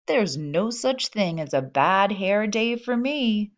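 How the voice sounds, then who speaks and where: Slow-paced woman reading a children's storybook. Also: mid-range voice, dull audio. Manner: expressive, speaking as baby animals in first person. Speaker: storybook reader. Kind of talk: children's picture book read-aloud.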